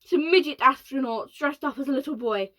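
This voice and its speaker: A woman voicing a bossy male ground controller who barks loud orders. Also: sits high, fairly quick, voice pushed up. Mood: angry.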